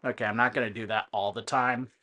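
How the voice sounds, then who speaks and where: A man giving casual, digressive commentary on a video game, explaining things in a halting way. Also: medium pitch, steady tone, sharp tone. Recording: faint music in the background.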